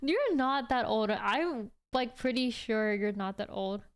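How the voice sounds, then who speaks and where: High-voiced, clear young woman chatting casually. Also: lively intonation, measured pace. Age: 20s. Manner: rambling, chatty, frequent "like". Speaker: livestream host. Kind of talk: casual chat with livestream viewers.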